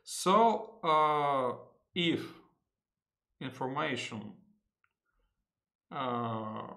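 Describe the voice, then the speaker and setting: A man with a Russian accent and Russian as his first language, giving an unedited software tutorial in English, halting often with fillers and correcting himself. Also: medium pitch, animated. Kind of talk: unedited screen-recorded tutorial.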